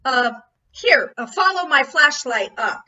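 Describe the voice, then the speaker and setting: Woman playing a bright, slow-talking police captain. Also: high voice, animated, heavily acted. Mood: cool, polite. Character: polite, unhurried, probing male police captain. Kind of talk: old-time radio drama acting. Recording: dull band, faint noise.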